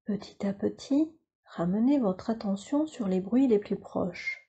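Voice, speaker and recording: A woman teaching yoga nidra, leading a guided relaxation in a calm, soothing, instructional way with measured phrases. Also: medium pitch, husky, highs cut off.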